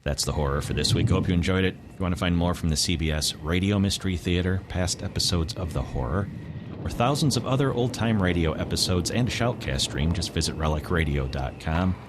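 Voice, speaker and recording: A man's voice: low-pitched, a little fast. A man hosting a podcast, reading a scripted show outro. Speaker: podcast host. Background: noise.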